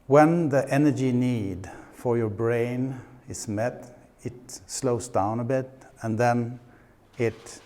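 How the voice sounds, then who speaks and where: A man's voice: medium pitch, a little mellow. A middle-aged man from Sweden with a Swedish accent, giving a conference talk in a hesitant way, with frequent fillers, restarts and dry humor. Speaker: conference speaker. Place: conference stage.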